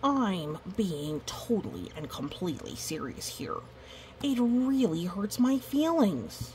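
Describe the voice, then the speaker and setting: A woman's voice: medium pitch, big pitch swings, slow, darkish tone, slight background noise. A woman voicing an unhappy, indignant male monster from a picture book, protesting emphatically with hurt and pleading.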